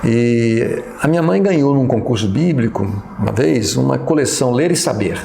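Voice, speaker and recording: Gravelly Brazilian man, hesitant storyteller. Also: mid-range voice, animated, moderate pace, dark tone, roomy sound, slight background noise. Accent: Brazilian Portuguese. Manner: hesitant, many fillers and restarts, reflective. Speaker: pastor and professor interviewee. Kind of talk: reminiscing interview.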